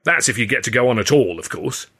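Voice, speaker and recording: A man's voice: medium pitch, lively intonation, quick pace, pushed and bright, slightly rough. A man voicing a malicious rival comedian in an audiobook, smug and taunting. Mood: gleeful gloating.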